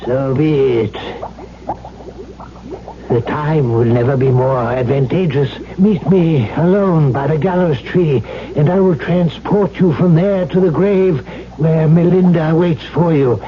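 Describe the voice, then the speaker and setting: Slow, high-voiced old elixir maker. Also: male voice. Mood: grave warning. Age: older. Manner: slow, portentous, solemn. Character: weary old male scholar of forbidden elixirs, ominous and grave. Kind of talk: 1970s radio drama acting. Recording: dull, hissy vintage radio broadcast.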